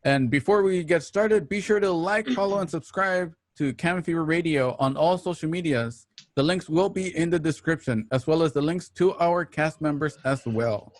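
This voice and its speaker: A man's voice: on the high side, normal speed, no room sound. A man hosting a show, delivering a scripted introduction in a presenterly, announcing manner. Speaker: show host. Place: at home.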